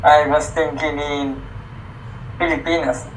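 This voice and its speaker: A man with a Colombian accent and a fairly high voice talks very slowly. He is a Spanish-speaking learner chatting casually, repeating words as he searches, over faint noise. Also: flat delivery, low-quality recording. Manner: halting, repetitive learner English. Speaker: English learner. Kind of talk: casual chat. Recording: faint noise on video call.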